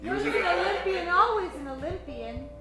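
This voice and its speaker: A woman's voice: extremely high pitch, lively intonation, normal speed, reverberant, over loud music, a loud crowd. A woman playing a chipper, obliging detective's secretary, playful and eager, in a comedic radio play. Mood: teasing.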